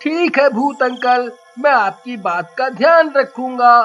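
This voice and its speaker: A man's voice: very high-pitched, expressive, measured pace, fairly bright tone, exaggerated voice. A man voicing a poor, caring young boy in a moral story, with a childlike, earnest manner. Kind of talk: animated moral story dialogue. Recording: muffled audio with music behind.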